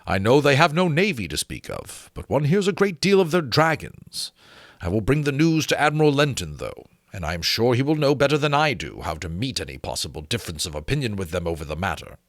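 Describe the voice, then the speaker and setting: A man's voice: mid-range voice, very animated, brisk, dry recording, clean studio sound, pitched above the performer's own voice. A man voicing a gallant, reassuring military captain with formal, courteous manners in a dramatic storybook reading. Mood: uncertain. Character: gallant, reassuring British male dragon captain.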